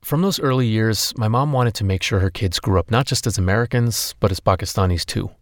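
A young man with a lowish voice narrates his own story in a podcast. The sound is dry and close. His telling is candid and personal. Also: brisk, no room sound. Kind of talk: scripted narration and interviewing.

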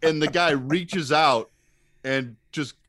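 A man's voice: on the high side, slow, fairly bright tone, dry recording. A man with an American accent telling self-deprecating stories in a casual, comic way as a podcast guest.

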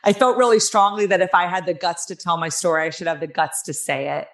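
Middle-aged woman guest, Jersey accent, raspy and nasal, low-ish voice, candid and emphatic. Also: steady tone, quick pace. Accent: New Jersey. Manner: earnest, emphatic, with many fillers. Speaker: guest author. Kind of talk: candid interview storytelling.

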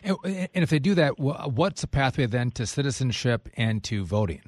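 Male radio host, dry studio sound, brisk leading questions, mid pitch. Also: animated, no room sound. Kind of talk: radio talk show interviewing.

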